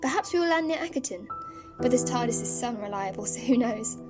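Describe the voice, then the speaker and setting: A woman's voice: on the high side, animated, fast, close to an everyday voice. A woman playing a wistful, inquisitive young granddaughter in a fan audio drama, speaking earnestly. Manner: earnest, questioning. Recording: music laid under the voice.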